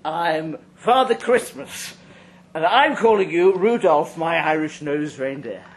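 A man's voice: on the high side, slow pace, low-quality recording. A man playing a drunk, crude, boastful banker with a mean streak, rambling and taunting in a live story reading. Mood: mocking. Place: live reading at a London venue. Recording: live event recording, faint background noise.

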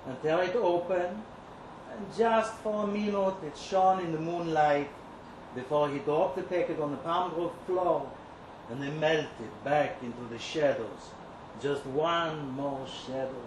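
A man performing a dramatic first-person story as a bitter, lovesick young kibbutz kitchen worker, brooding and sardonic, with dramatic pauses. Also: a little high, natural voice. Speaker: reader at a live literary event. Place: indoor live reading venue. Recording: roomy live event recording, clear background noise.

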